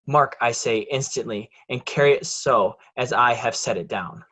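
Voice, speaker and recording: A man acts as a calculating villain of noble birth in a stage tragedy. He speaks old verse in a measured, commanding way, cold and full of ambition. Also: mid-range voice, pushed and bright. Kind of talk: Shakespearean verse read in reader's theatre.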